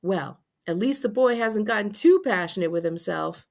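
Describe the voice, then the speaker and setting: A woman's voice: medium pitch, animated, moderate pace, vocal fry. A woman voicing an easily amused father in his thirties, teasing and jovial, in a storybook. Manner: jovial, mocking, drawn to jokes.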